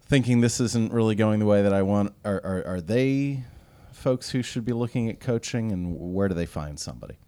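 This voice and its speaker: A man's voice: deep voice, dark tone. A man hosting an interview who asks conversational questions with many fillers. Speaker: interview host.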